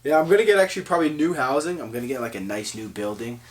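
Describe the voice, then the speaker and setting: A man's voice: mid-range voice, racing, distant mic. A young man from New Jersey with a light South Jersey accent, hosting a casual podcast chat, slangy and full of swearing, telling stories with lots of energy. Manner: slangy, swears a lot, animated storytelling. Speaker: podcast host.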